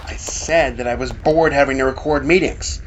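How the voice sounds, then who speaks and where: A man's voice: mid-range voice, steady tone, moderate pace, muffled recording, natural voice. An actor playing a whiny, complaining young male cameraman in an audio drama. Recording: noisy background with gunfire.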